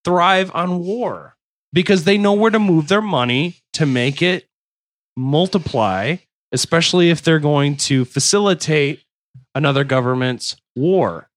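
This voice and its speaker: A man's voice: a little high, a little slow, no room sound, studio quality. A man hosting a casual podcast, reading history aloud between jokes. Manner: jokey, slips into loud silly voices. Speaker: podcast host.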